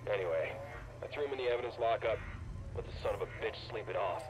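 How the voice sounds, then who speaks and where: An actor playing a weary, irritated space-station lawman, gruff and fed up, in a video game audio log with a radio-like filter. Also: fairly low pitch, fast, lo-fi sound.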